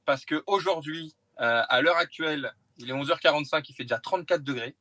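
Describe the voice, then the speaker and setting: Higher-pitched man on a phone call. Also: brisk, sharp tone, dry recording. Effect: voice heard through a phone call. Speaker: phone caller, friend of the host. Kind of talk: casual chat. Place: inside a car. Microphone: smartphone on a call.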